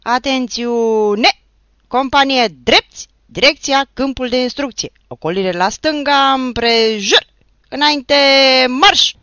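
A reader voicing a hot-tempered young village teacher who barks roll-call names and marching orders at full shout. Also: high-pitched, clean tone, no room sound, dull audio, pitched above the performer's own voice. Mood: barking orders. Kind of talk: audiobook narration.

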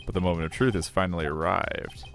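A man voicing an earnest, determined young defense attorney in a courtroom video game, dramatic and deliberate. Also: sits low, fairly bright tone, soft background music. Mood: determined, grave. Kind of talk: voiced visual novel playthrough.

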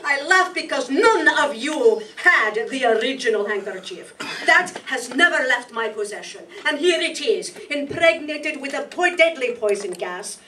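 A woman playing a shrewd, confident lady detective in a staged radio mystery, reading deliberately and dramatically. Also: high-pitched, lively intonation, bright, forceful tone, full character voice. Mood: triumphant, accusing. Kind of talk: 1940s radio mystery acting, read from script. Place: live staged reading in a meeting hall. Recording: distant mic in noisy room.

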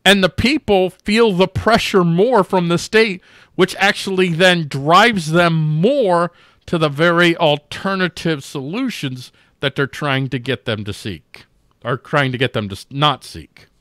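A man's voice: fairly high pitch, measured pace, a bit bright, no echo, studio quality. A man hosting a political talk show over a video call, making his case earnestly and restarting phrases as he thinks aloud. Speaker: show host covering news stories.

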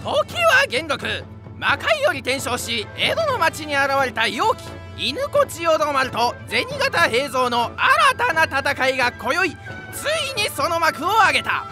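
High, very animated woman narrator. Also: measured pace, over music. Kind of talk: dramatic scripted episode narration.

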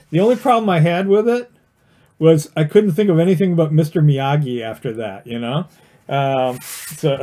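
A man's voice: mid-range voice, dark tone. A man teaching watercolor painting on a casual livestream, chatting and rambling with many fillers and restarts while he works. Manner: talks while painting, plain and encouraging. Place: indoor studio with overhead camera on the painting desk.

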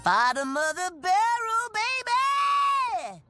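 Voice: very high-pitched, very animated, slow pace, loud music behind the voice, exaggerated voice. An actor voicing a whiny teenage slacker superhero in a cartoon, casual, slangy and complaining. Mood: offended. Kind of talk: animated cartoon voice acting.

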